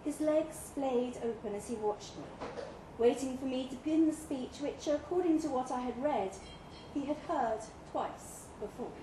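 A woman narrates a short story live in an echoey pub room. Her voice is high and her phrasing slow and measured, with clear noise behind her. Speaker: story reader. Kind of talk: scripted literary narration, read live. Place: indoor live reading event in a pub.

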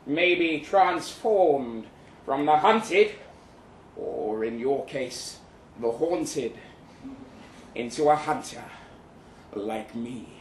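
An actor playing a boastful, lecherous Russian soldier in a florid, theatrical live story reading, savouring each phrase. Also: male voice, a little high, darkish tone. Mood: self-satisfied. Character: boastful, lecherous crippled Russian soldier advising lovelorn friend. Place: indoor live reading event in London venue. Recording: roomy live venue, background noise.

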